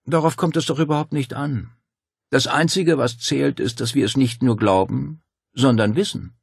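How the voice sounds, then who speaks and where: A man voicing a calm, fervent cult master who speaks with smug, insinuating certainty in an audiobook. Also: mid-range voice, moderate pace, vocal fry, breathy edge, dry recording, studio quality, voice pushed up. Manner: insinuating, declamatory, unruffled. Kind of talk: dramatic audiobook dialogue.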